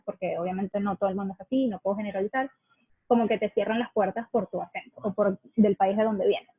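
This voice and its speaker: A woman from Venezuela with a Venezuelan accent, speaking reflectively as a guest, with many pauses and restarts. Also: medium pitch, husky, no echo, narrow, tinny audio. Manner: reflective, many fillers and self-corrections. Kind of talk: conversational interview.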